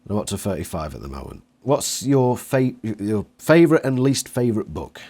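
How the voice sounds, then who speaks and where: A man's voice: on the low side, very animated, normal speed, darkish tone. A man chatting casually about books as an interviewer.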